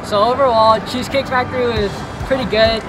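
A man's voice: very high-pitched, steady tone, fairly quick, poor audio. A teenage boy casually rating a restaurant in plain, simple sentences. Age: teens. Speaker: student reviewer. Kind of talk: casual food review. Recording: loud music and crowd behind.

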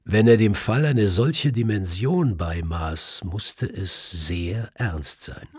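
A man's voice: lowish voice, slow. A man reading a mystery audiobook in a scripted, dramatic narrating style. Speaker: audiobook reader. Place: dry studio.

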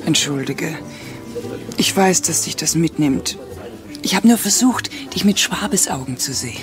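An actress playing a shrewd, ambitious, critical wife in a radio play, pointed and insistent. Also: female voice, sits low, expressive, unhurried. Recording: music behind the voice.

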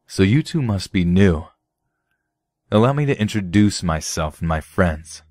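A man voicing a menacing teenage bully leader with sneering, drawn-out taunts. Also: sits low, expressive, a little mellow, no room sound, clean studio sound.

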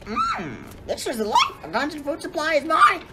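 A woman's voice: sits high, huge pitch swings, normal speed. Woman playing a wildly swooping, gloating eagle. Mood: contented. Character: greedy, gloating eagle relishing its food. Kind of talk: amateur radio play acting. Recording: noisy amateur recording with clicks.

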